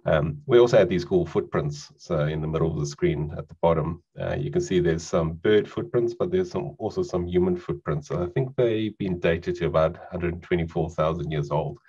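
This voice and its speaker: A middle-aged man with a South African accent gives a relaxed online presentation with lots of fillers, over a video call microphone. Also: sits low, normal speed, few pauses, husky, dull audio. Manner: relaxed, conversational, frequent fillers.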